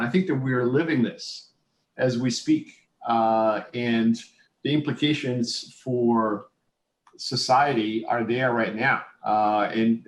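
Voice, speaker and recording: A man speaking earnestly on a panel, concerned, with frequent pauses and fillers. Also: mid-range voice. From United States. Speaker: panel speaker, business professor. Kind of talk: panel discussion. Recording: roomy echo.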